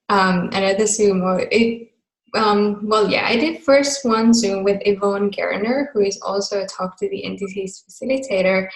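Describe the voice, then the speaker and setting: A chatty woman from Finland talks casually over a video call, her voice sitting at an everyday middle pitch. She drifts through fillers and little asides. The call audio carries a roomy echo. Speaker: host of a group video call. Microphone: computer mic on a video call.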